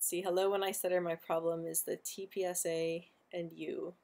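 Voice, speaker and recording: Young woman host, low-pitched, stutters on sounds, relaxed live chat. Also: normal speed. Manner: stutters often, repeating sounds and words. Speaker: livestream host answering viewer questions. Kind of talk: casual live stream chat.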